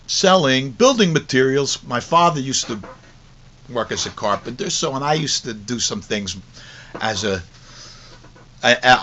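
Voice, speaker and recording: A man's voice: mid-range voice, fairly bright tone, slight background noise. A mature man giving an autobiographical teaching talk in a conversational storytelling way, repeating phrases for emphasis. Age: middle-aged or older. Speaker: course teacher. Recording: dull lo-fi recording.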